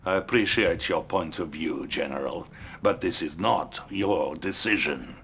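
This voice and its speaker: A man playing a smooth, scheming Soviet premier addressing his commander. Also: low voice, a little slow, fairly bright tone, a little hiss or hum. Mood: cool.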